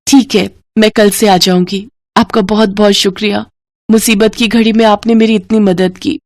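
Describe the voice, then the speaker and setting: A woman's voice: medium pitch, flat delivery, moderate pace, a little mellow, no room sound, clean studio sound, natural voice. A woman voicing a humble, hardworking village mother in a story, polite and pleading. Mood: grateful.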